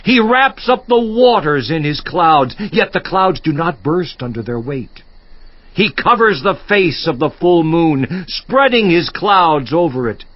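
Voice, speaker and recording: A man's voice: fairly high pitch, animated, a little slow, no room sound. An actor playing an anguished, defiant righteous man in a theatrical, emphatic scripture monologue. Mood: awed. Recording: slightly muffled audio.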